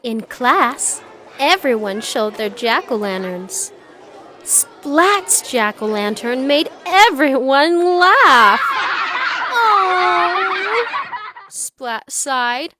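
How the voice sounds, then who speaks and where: High-pitched, slow-paced woman reading a storybook. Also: very noisy. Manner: expressive, dramatic storytelling. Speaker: storybook reader. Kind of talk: animated children's read-aloud narration.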